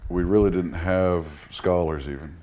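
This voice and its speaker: A man's voice: deep voice, steady tone, normal speed, warm tone. A man speaking as an interview guest in a reflective way, thoughtful and hesitant, searching for his words. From United States, Texas. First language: English. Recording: audible background noise.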